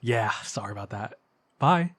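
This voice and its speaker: A man's voice: fairly low pitch, animated, moderate pace. A young man playing a caring, earnest best friend in an intimate ASMR roleplay, talking in a casual, slightly hesitant, conversational way. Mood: casual, apologetic. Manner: soft-hearted, hesitant, casual chatty phrasing.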